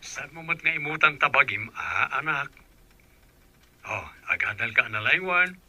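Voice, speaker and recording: A man's voice: mid-range voice, animated, unhurried, bright, forceful tone, full character voice. A man playing a caring middle-aged father in an Ilocano radio drama, with an Ilocano accent. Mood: tender.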